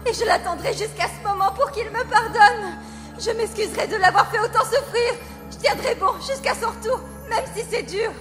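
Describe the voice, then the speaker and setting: A woman's voice: very high-pitched, moderate pace, fairly bright tone. A woman voicing a tormented, defiant little girl devoted to her brother in a French anime fandub, with emotional, tearful delivery. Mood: tearful defiance. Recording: long hall reverb under music.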